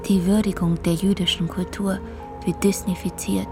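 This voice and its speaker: A woman's voice: mid-range voice, flat delivery, moderate pace, music in the background, close to an everyday voice. A woman reciting scripted radio-play lines in a declarative way.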